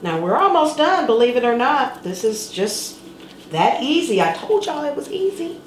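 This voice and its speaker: A middle-aged woman hosts a home cooking demonstration in an easygoing, chatty way, now and then playfully singing a word. She is recorded by a camera mic set back in her kitchen, where the sound rings off hard surfaces. Cutlery and pans clink clearly behind her. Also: high-pitched, big pitch swings, normal speed, slight background noise. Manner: chatty and playful, sings words for fun. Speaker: cooking show host. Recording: echoey kitchen with clattering dishes.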